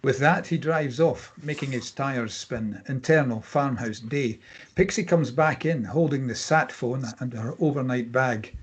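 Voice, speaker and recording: Man, husky, medium pitch, scripted narration, reading screenplay directions. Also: unhurried. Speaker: stage-direction reader.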